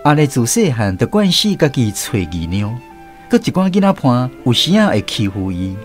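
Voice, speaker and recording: A man's voice: mid-range voice, sing-song, normal speed, soft background music. A man with a Taiwanese accent narrating a scripted first-person story for a radio drama.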